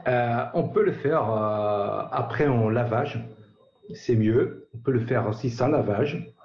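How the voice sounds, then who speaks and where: A man from Belgium with a slight Belgian accent, a radio guest answering in a hesitant, halting way over a remote line. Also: medium pitch. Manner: hesitant, halting, many pauses. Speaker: guest, company sales director. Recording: dull roomy remote line.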